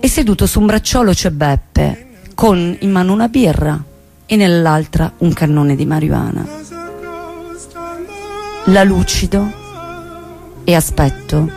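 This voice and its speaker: A woman's voice: on the low side, darkish tone. A woman delivering a narrated monologue in a storytelling style.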